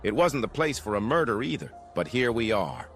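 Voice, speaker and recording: An actor playing a tough, cynical 1940s private eye in a noir radio drama, clipped, sardonic and confrontational. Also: male voice, fairly low pitch, sing-song, normal speed, faint music behind. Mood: accusing, cynical.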